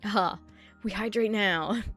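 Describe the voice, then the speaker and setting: A woman's voice: mid-range voice, slow, a bit bright. Chatty, swearing woman streamer. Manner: chatty, swears often, laughs a lot, reads chat aloud. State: sleepy voice. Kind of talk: casual livestream chat while setting up a 3D model. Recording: faint music underneath.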